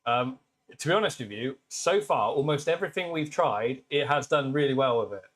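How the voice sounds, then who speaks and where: A British man with a naturally loud voice, hosting a casual live stream demo and chatting warmly with viewers. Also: medium pitch, animated, normal speed. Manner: chatty, upbeat, greets viewers by name. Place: workshop with laser engravers.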